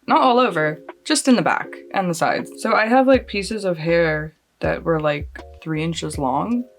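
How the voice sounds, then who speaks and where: A woman's voice: on the low side, gravelly. A woman in her late thirties from Australia whose mostly Californian accent lets some Australian slip through. She chats her way through a casual makeup tutorial, rambling and self-deprecating, and laughs often. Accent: mostly Californian with some Australian coming through. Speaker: beauty vlogger. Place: at home, lit by a close lamp.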